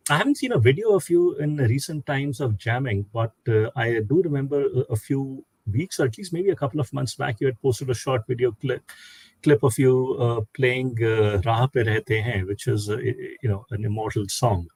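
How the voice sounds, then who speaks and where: A man with a slightly husky voice hosts a casual panel discussion, recorded dry and close. He tells anecdotes and draws the guests out with questions. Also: mid-range voice, moderate pace, a little mellow, no room sound, low-quality recording. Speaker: host.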